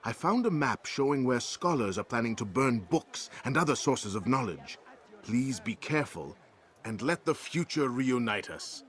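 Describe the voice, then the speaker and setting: A man's voice: medium pitch, very animated, normal speed, fairly bright tone. An actor playing a friendly male informant in a video game, earnest and polite. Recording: game music behind.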